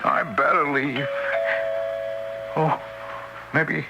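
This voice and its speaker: An actor playing a nervous, apologetic ghostly friend in an old-time radio drama, stammering and hesitant. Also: male voice, a little high, fairly even intonation, very slow, creaky, muffled recording, over loud music, heavily acted. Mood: apologetic, worried. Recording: faintly noisy old radio recording.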